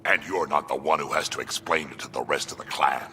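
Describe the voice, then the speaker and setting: A man playing a gruff old Krogan alien warrior in a video game, growling, blunt and sardonic. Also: a bass voice, fairly quick, sharp tone, big-room sound, a little hiss or hum. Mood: bitter. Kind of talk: video game cutscene acting.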